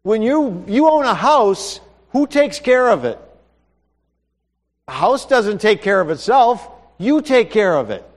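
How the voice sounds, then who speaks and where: A man's voice: sits high, very animated, unhurried, long reverb tail. A man preaching a sermon in a conversational way, asking his listeners questions.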